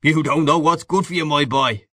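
A man's voice: on the high side, steady tone, normal speed, pushed and bright, dry recording, clean studio sound, voice pushed up. A man voicing a hearty, boastful newspaperman in an audiobook, breezy, expansive and patronising. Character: boisterous, boastful, hearty Irish newspaperman back home. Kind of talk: audiobook character dialogue.

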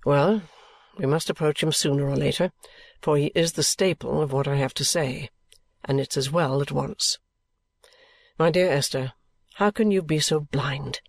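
Male actor as young gentleman, period novel dialogue: earnest, weary, evasive, creaky edge, very dry sound. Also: on the high side, moderate pace, dry recording. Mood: impatient. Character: restless young gentleman, affectionate but bitter and evasive. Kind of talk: acted dialogue in a classic novel audiobook.

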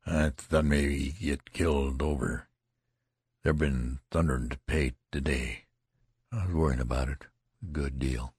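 A man voicing a dazed, fearful dying soldier, talking in a halting, shaky, repetitive way. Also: deep voice, gravelly, darkish tone, no room sound, clean studio sound. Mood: dazed, flat.

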